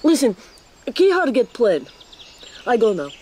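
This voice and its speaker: A woman's voice: on the high side, sing-song, a little slow, darkish tone, slight background noise. An actress playing a brash but good-hearted female seabird who speaks in clipped, broken phrases in a radio drama. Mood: eager. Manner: clipped pidgin phrasing with foreign-sounding grammar.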